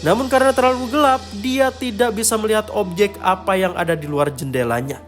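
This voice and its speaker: A male narrator with a high-pitched voice tells a scripted horror story. Music plays clearly behind the narration. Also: fairly quick. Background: clear background music.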